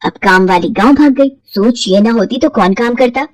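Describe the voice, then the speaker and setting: A woman's voice: on the high side, moderate pace, dry recording, natural voice, poor audio. A woman voicing a fretful, complaining middle-aged mother-in-law in a cartoon story. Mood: smug. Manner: complaining, scolding.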